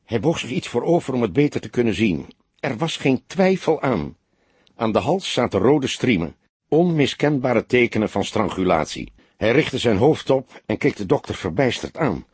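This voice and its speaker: A man's voice: mid-range voice, sing-song, moderate pace, darkish tone, husky, dry recording. A man narrating a crime novel as an audiobook, reading scripted prose in a dramatic storytelling style.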